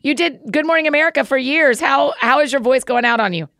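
Lady hosting a podcast, chatty n bubbly, little fry in her voice, super dry mic. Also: sits high, fast talker, fairly bright tone, no room sound. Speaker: podcast host. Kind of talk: friendly podcast interview.

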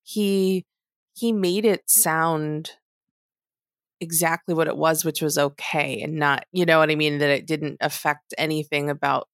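A woman's voice: sits low, steady tone, a little slow, no room sound, studio quality. A woman co-hosting a podcast in casual chat, trailing off into asides and fillers as she talks. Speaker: podcast co-host.

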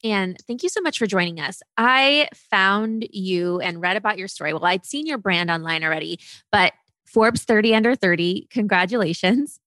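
A woman's voice: mid-range voice, lively intonation, normal speed, fairly bright tone, no echo. A woman hosting a podcast, reading an enthusiastic intro and then interviewing her guest. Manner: upbeat, enthusiastic promo reading. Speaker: podcast host.

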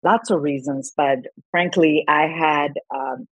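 A woman's voice: low voice, slow pace, breathy edge, no room sound, highs cut off. A middle-aged woman from Colombia, a congressional candidate, speaking earnestly in a political interview and often restarting her words.

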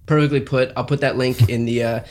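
A young adult man hosting a political podcast, asking his guests questions in a measured, conversational way. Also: medium pitch, steady tone, a little mellow. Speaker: podcast host. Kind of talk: interview questions.

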